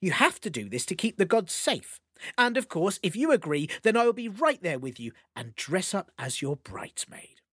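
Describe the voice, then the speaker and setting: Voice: medium pitch, very animated, normal speed, fairly bright tone, slightly rough, no room sound, clean studio sound. A storyteller voicing Loki, a sly, mischievous male trickster god who coaxes and schemes with amused persuasion. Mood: serious.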